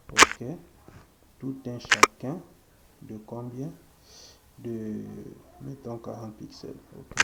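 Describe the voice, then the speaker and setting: A French-speaking man teaching web coding, thinking aloud as he types, often checking in with a quick "ok" to his viewers. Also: mid-range voice, gravelly. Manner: slow, thinking aloud while typing, frequent "ok", addresses viewers. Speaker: web development instructor. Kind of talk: screen-recorded coding tutorial. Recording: loud background noise.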